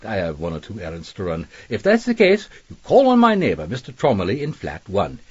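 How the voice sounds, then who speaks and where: An actor playing a suave, scheming employer and widower, politely commanding and wordy, in a radio drama. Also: male voice, lowish voice, wildly swooping pitch, fairly quick, breathy edge, dry recording, highs cut off, heavily acted. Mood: brisk, persuasive. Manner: genteel, wordy, insinuating.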